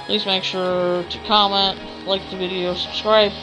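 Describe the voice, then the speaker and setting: A woman's voice: medium pitch, flat delivery, a little slow, music in the background, rough recording. A woman hosting a gaming let's play, reading aloud in a dramatic manner with the odd stumble. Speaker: gaming channel host.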